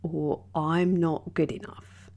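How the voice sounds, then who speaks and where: A woman hosting a wellbeing podcast, speaking gently with a slightly croaky voice. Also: low voice, little pitch movement. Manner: soft, gentle, unhurried delivery with drawn-out phrases. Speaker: yoga and wellbeing podcast host. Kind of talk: reflective wellbeing talk.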